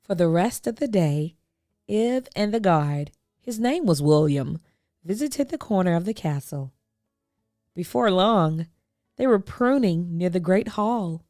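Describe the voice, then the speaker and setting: A woman reading a children's storybook aloud, expressively, with deliberate phrasing and dramatic pauses. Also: lowish voice, lively intonation, dark tone, dry recording, clean studio sound, faint music behind. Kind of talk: expressive picture-book read-aloud.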